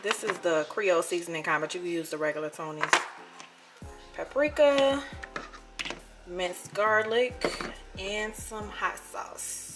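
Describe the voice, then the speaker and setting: Chatty woman over loud background music. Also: lowish voice, measured pace, pauses often. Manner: relaxed, chatty instructions with fillers. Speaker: cooking vlogger. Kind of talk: casual cooking walkthrough. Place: home kitchen, indoors.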